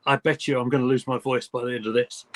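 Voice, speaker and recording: A young man giving live play-by-play commentary on a football match for fans, in brisk, clipped calls. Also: medium pitch, level tone, noisy background. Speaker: fan commentator.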